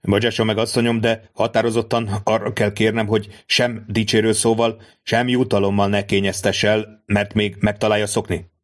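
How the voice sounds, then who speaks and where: Man as a calm, courtly count. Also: lowish voice, hardly any pauses, lightly acted. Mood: composed. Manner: calm, formal, dignified. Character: composed, courteous nobleman count, formal and measured. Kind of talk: audiobook reading of a classic novel.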